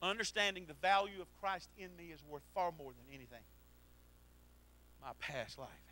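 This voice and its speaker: A middle-aged man preaching a sermon into a handheld mic in a church, swinging from shouted exhortation to quiet storytelling. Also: high-pitched, lively intonation, moderate pace, a little hiss or hum. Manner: preaches while pacing the platform. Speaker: pastor. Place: church sanctuary.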